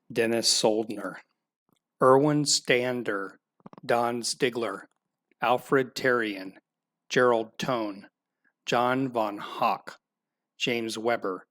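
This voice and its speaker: A man's voice: mid-range voice, fairly bright tone. A man reading out a list of names formally for a memorial roll call.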